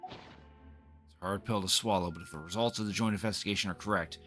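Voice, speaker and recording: A man's voice: low voice, brisk, fairly bright tone. A man hosting a game livestream, reading dialogue aloud and chatting to viewers, sometimes stumbling over and repeating words. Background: video game music.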